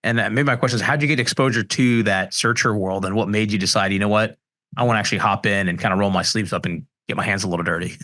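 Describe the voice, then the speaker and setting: Fast-talking podcast host. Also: male voice, lowish voice, fairly bright tone. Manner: rambling questions full of "right?" and "you know". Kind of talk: conversational podcast interviewing.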